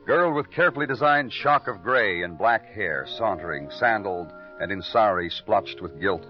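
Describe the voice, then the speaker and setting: An actor playing a wry, weary New York police detective who narrates in a lyrical style, in an old radio crime drama. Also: male voice, lowish voice, expressive, normal speed, faint music behind, close to an everyday voice. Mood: brooding, poetic. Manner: clipped, dry delivery in dialogue, florid in narration. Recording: muffled old radio recording.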